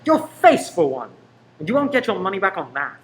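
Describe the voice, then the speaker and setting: An actor as a cheeky, quick-talking greengrocer. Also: male voice, sits high, big pitch swings. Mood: combative. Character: cheeky, meddling male greengrocer, flirtatiously helpful. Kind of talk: radio play acting. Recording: echoey room, faint background noise.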